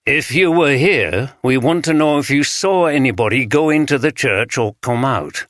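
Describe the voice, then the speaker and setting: A man voicing a gentle veteran detective. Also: mid-range voice, lively intonation, no echo, clean studio sound, voice pushed up, lightly acted. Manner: gentle, reassuring, measured. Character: calm senior male detective, gentle and patient.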